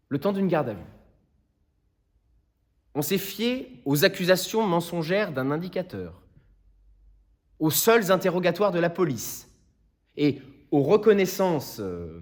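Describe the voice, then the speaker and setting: A man playing an earnest, impassioned defence lawyer, delivering a solemn theatrical plea to a jury in deliberate rhetorical phrases. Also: on the high side. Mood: solemn, firm, then indignant, insistent. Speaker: actor performing a monologue. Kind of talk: theatrical courtroom closing speech.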